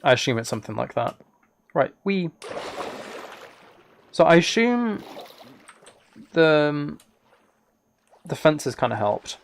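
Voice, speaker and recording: A man's voice: on the high side, very animated, slow pace, long pauses. Man chatting casually on a live game stream. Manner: rambling, thinking aloud, chatting with viewers. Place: indoors at a computer, keyboard clicks behind.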